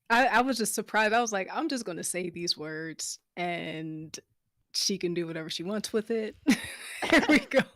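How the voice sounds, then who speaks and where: A woman's voice: medium pitch, a bit bright, no echo, studio quality. A woman who works as a voice actor, talking casually as a guest in an after-show chat.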